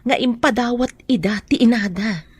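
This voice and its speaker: An actress playing a concerned, cautious, protective friend in an Ilocano radio drama, with a husky voice. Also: female voice, a little high, normal speed, dry recording. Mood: cautious, earnest.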